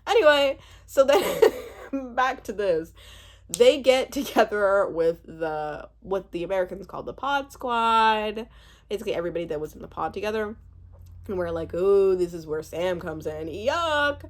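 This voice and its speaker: Very animated young woman chatting casually. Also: mid-range voice, a little slow. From born in Uganda, spent part of childhood there. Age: twenties. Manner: animated, rambling, breaking off mid-thought. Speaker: YouTube host reviewing a reality show. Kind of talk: casual chatty recap commentary.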